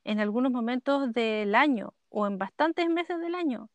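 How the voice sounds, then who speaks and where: A woman's voice: fairly high pitch, expressive, moderate pace, no echo, lo-fi sound. A woman with a Chilean accent co-hosting a podcast, telling rambling stories casually from under a duvet in bed. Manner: rambling storyteller, laughs often. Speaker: podcast co-host. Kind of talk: casual chat. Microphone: headphones with computer.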